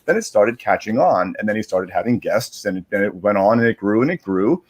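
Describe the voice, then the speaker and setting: A man chatting casually on a livestream, explaining in a halting, rambling way and repeating words as he searches for phrasing. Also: fairly low pitch, lively intonation, husky. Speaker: digital media strategist, guest reader.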